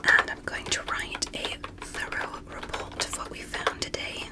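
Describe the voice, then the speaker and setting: Casting: woman as courteous alien medical examiner for ASMR roleplay, breathy whisper throughout, careful and reassuring. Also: close to an everyday voice. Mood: gentle, polite. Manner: slow, careful, softly reassuring. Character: polite, gentle alien doctor examining a human.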